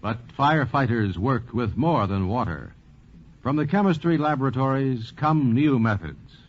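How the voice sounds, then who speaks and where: A man's voice: mid-range voice, expressive, normal speed, a little hiss or hum. A man delivering scripted narration in 1940s radio style. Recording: dull vintage 1940s radio recording.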